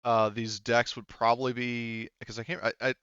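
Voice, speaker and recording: A man's voice: mid-range voice, flat delivery, normal speed, a bit bright, smooth, no room sound, lo-fi sound. A man casually narrating a tabletop game as game master, rambling and thinking aloud as he goes.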